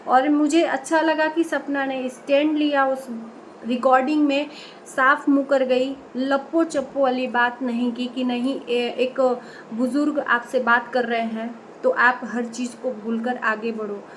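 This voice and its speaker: A woman's voice: high-pitched, little pitch movement, a little slow, a little hiss or hum, poor audio. A woman vlogger reacting to a call recording, talking straight to her viewers in an earnest, opinionated way, often breaking off and correcting herself. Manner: many fillers and self-corrections. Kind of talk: direct-to-camera commentary.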